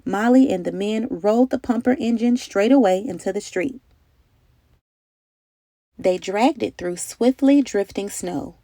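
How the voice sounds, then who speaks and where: Expressive woman storyteller in a dry room. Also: medium pitch, a little slow, no echo. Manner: dramatic, carefully phrased storytelling with emphatic delivery. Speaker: storybook reader. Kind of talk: expressive children's story narration.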